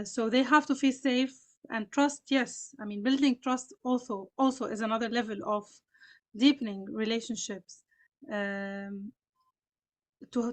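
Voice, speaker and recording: A Palestinian woman with a Palestinian and Lebanese Arabic accent, speaking on a panel in an earnest, reflective way with frequent fillers. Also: on the high side. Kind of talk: panel discussion.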